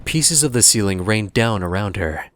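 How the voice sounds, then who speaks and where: A man's voice: on the low side, animated, moderate pace. A man giving scripted narration for an audio drama as its storyteller. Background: clear background music.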